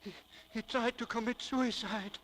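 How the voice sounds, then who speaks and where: An actor playing a frantic, stammering shop employee bringing urgent word in a 1940s radio drama. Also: male voice, extremely high pitch, steady tone, unhurried, loud music behind the voice. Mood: panicked. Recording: vintage 1940s radio broadcast.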